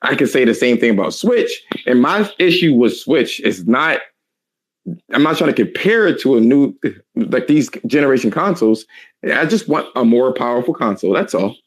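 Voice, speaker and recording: A man in his thirties with a raspy, tired voice, ranting profanely in a heated casual chat. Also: medium pitch, big pitch swings, moderate pace, low-quality recording. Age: 30s. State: tired, hoarse voice.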